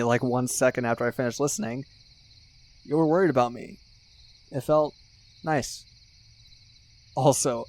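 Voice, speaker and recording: A man's voice: medium pitch, lightly acted. Casual ASMR roleplay lead: male actor as a young guy best friend, geeky and teasing but sincere, conversational and rambling, mid-range voice. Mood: wistful, sincere, then playful. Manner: conversational, rambling, with musing pauses and sighs.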